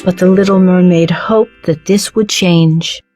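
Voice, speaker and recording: A woman reading a children's storybook as narrator, with measured, clearly phrased delivery. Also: on the low side, fairly even intonation, mellow, faint music behind.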